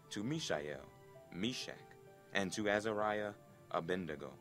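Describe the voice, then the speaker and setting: A man's voice: low-pitched, fairly bright tone. A man narrating a dramatic scripted Bible reading in a formal, solemn storytelling manner. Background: background music.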